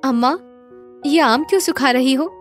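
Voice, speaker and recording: A woman voicing a gentle young daughter-in-law with an earnest, pleading manner, in a moral story. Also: high voice, moderate pace, soft background music, natural voice.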